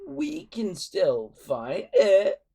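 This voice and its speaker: A woman voicing a treacherous, greedy male villain in a storybook, with drawn-out, melodramatic pleading. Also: medium pitch, wildly swooping pitch, gravelly, mellow, breathy edge, pitched above the performer's own voice, full character voice. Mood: urgent pleading.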